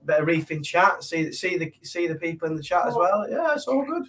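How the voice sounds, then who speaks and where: A man with a croaky, hoarse voice chatting casually and jokingly on a livestream. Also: on the high side, sing-song, brisk, a bit bright. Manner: self-mocking, jokey banter. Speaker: co-host.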